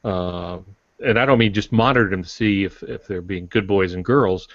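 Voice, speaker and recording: A man's voice: low-pitched, normal speed, fairly bright tone, dry recording. An older man co-hosting a podcast, giving casual, explanatory advice. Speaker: podcast co-host, writer and teacher. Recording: dull, dry lo-fi audio.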